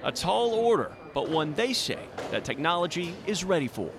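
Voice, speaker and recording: A man's voice: a little high, very animated, moderate pace, crowd noise. A male TV news reporter reading scripted narration for a feature package.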